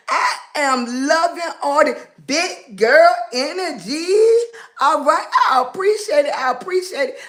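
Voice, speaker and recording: A Southern woman from Virginia chats through a phone live stream in a high, unhurried voice. She welcomes viewers one by one, drawls her words and calls everyone baby. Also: fairly bright tone. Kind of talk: casual live-stream chat greeting viewers.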